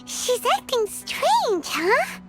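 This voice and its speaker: A very high, squeaky little fairy sidekick chatters excitably with swooping intonation. She sounds childlike and easily indignant, a video game companion with loud music behind her. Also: very slow, exaggerated voice. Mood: puzzled, curious. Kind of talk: video game character voice acting.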